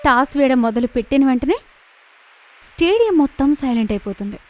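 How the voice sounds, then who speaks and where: A woman reading scripted narration for an animated children's story. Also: sits high, brisk.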